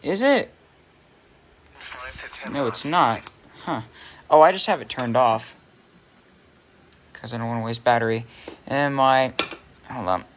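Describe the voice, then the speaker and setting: Male hobbyist video creator, bright edgy tone, mid pitch, casual and halting, unscripted show-and-tell delivery. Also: a little hiss or hum. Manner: halting, offhand, self-interrupting.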